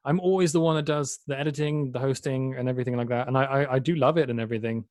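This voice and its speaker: A man hosting his podcast in a laid-back, fairly monotonous way of talking. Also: mid-range voice, racing, dry recording, studio quality. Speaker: podcast host. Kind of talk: casual podcast chat.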